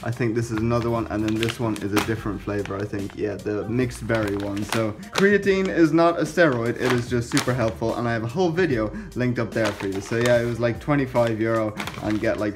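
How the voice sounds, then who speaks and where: A man's voice: mid-range voice, a little fast, hardly any pauses. A young man in his twenties with an Irish accent, vlogging a food challenge, exclaiming and laughing between bites while filming himself at arm's length in a parked car. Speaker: fitness vlogger. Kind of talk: casual food vlog. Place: parked car, and a kitchen at home. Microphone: camera held at arm's length. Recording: music behind. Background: music.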